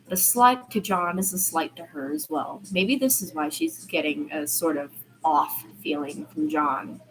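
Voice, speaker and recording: Woman, fairly low voice, amateur story narration, occasional stumbles, faint background noise. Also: a little slow, a bit bright, low-quality recording. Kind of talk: reading fiction aloud.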